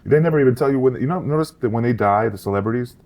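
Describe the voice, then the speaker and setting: A man's voice: medium pitch, rapid-fire, a little mellow. A man co-hosting a podcast in casual comedic banter, blunt and joking.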